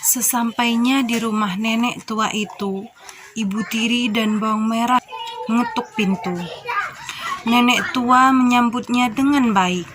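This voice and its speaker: Young female storyteller for children's tale narration, measured and slow, with clear background noise. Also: on the high side, steady tone.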